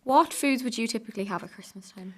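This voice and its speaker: A woman's voice: a little high, fast. A teenage young woman hosting an interview show with prepared questions. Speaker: show host.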